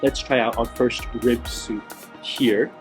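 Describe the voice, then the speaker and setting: A man hosting a travel food vlog, a little hoarse and raspy from feeling unwell, talking enthusiastically and repeating words for emphasis. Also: mid-range voice, fairly even intonation, slow, faint music behind. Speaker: food vlogger host. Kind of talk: casual travel vlog narration. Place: outdoors in a small-town plaza, then a tiny eatery.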